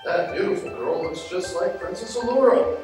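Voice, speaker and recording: An echoey, distant live recording carries a scheming young prince, acted by a man, with music behind. He is arrogant and sneering, with swooping, animated pitch, in cartoon villain style. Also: moderate pace. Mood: intrigued. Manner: haughty, sneering delivery. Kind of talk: cartoon radio play acting.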